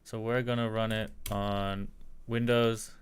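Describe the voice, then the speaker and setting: A man with a slight American accent, chatting casually while teaching a live-streamed software tutorial and answering viewers. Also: lowish voice, flat delivery, measured pace, clean tone.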